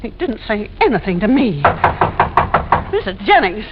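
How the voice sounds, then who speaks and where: A woman's voice: medium pitch, very animated, normal speed, lightly acted. An actress playing a nosy, chatty, suspicious neighbor landlady in a gossipy, rambling 1940s radio suspense style. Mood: calling, solicitous. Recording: dull, hissy 1940s radio recording.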